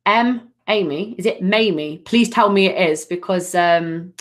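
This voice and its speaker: A middle-aged woman from Nottingham, England, giving a live angel card reading in a gentle, soothing, affectionate way, with lots of fillers and endearments. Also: fairly low pitch, a little slow.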